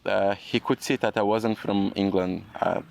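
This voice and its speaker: A young man from Brazil with a Brazilian accent, speaking English as a second language in a relaxed podcast chat, often adding "you know". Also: on the low side, moderate pace, gravelly. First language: Portuguese. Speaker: guest. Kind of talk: casual podcast conversation.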